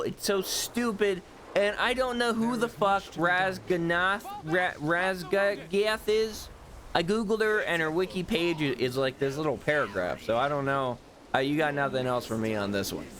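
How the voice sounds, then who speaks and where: A man's voice: high-pitched, measured pace, fairly bright tone. A man casually narrating a game overview as a youtuber, offhand and self-deprecating, swearing freely. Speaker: gaming youtuber.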